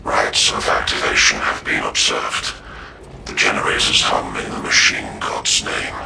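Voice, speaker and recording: A machine-priest who chants precise status readouts as if they were prayers. The voice sits extremely low and gravelly and barely moves in pitch. Loud game music plays behind it. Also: male voice, measured pace, reverberant, distant mic, exaggerated voice. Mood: solemn litany. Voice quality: flat, gravelly, mechanical chant. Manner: liturgical, precise, reverent to the machine. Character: male machine-priest intoning ritual readouts.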